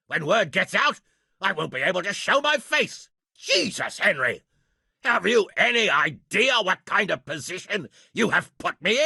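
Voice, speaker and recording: A man voicing a gruff, blunt, hot-tempered medieval lord and military leader in a video game, with a raspy, commanding delivery. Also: on the high side, sharp tone, no room sound, studio quality, heavily acted. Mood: outraged. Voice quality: gravelly, raspy. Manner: blunt, commanding, coarse-tongued. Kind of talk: scripted game dialogue acting.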